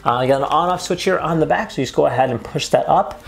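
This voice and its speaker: Young man reviewing, clear background noise. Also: on the high side, quick pace. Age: young adult. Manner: upbeat, conversational. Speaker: host of an unboxing and review video. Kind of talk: casual product unboxing and review.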